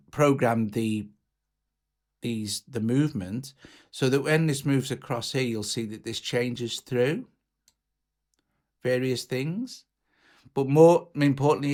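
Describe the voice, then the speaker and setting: A man giving an informal, enthusiastic walkthrough as a music software tutorial presenter, talking casually. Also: mid-range voice. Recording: music playing behind the voice. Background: drum machine and instruments.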